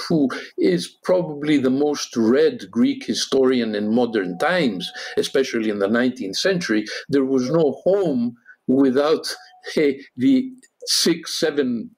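A husky-voiced man talks as a guest on a discussion podcast, pausing often on fillers as he works through his thoughts. He jumps up high and loud whenever he quotes someone. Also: animated. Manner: halting, many fillers, rises sharply for quotes. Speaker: guest historian. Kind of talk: animated discussion, podcast panel.

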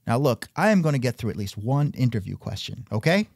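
Sardonic male comedy host, animated intonation, dry close mic. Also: mid-range voice, fairly quick, vocal fry, dry recording. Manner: sardonic, exasperated. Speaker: podcast host. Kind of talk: scripted comedic interview.

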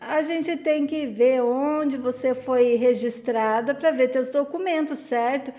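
An easygoing middle-aged rancher, calm and reassuring, read by a woman in her own high voice. He talks plainly and affectionately. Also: takes it slowly. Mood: relaxed.

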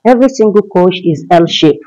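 A woman's voice: on the low side, animated, brisk, warm tone, highs cut off. A woman working as a housemaid, chatting casually to viewers and explaining each task as she cleans tiled rooms in a large home. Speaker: housemaid filming her cleaning routine. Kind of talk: casual vlog narration to viewers.

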